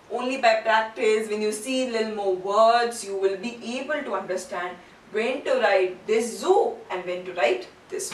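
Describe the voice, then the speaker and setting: A woman teaching a language lesson in a didactic way, posing questions and answering them herself. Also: on the high side. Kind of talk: instructional lesson, explaining and drilling sounds. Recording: roomy echo, distant mic, faint noise.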